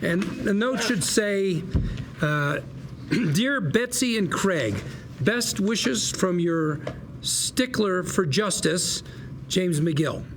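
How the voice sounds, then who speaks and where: A man's voice: high voice, animated, drawn-out words, noisy background, heavily acted. An actor playing an eager, persuasive small-time lawyer at a table read, with salesman patter and hesitant asides. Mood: dictating. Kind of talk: live table read of a TV script.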